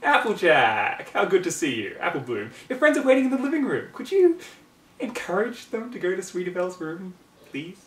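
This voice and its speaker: A man's voice: high-pitched, big pitch swings, normal speed, fairly bright tone, pitched above the performer's own voice. A man voicing a refined, fussy female unicorn with genteel, dramatic manners in a fan story reading. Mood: gracious. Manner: genteel, dramatic, formal wording. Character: refined, fussy lady unicorn, polite but quick to snap. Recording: roomy, distant mic, faint noise.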